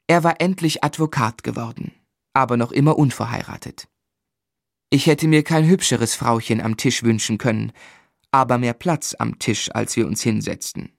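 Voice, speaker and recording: An actor playing an earnest, tender young husband in a German radio play, articulate and measured in narration and dialogue. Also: male voice, mid-range voice, gravelly, no room sound, clean studio sound. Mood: wry narrating. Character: earnest, tender, gently exasperated young husband telling his story.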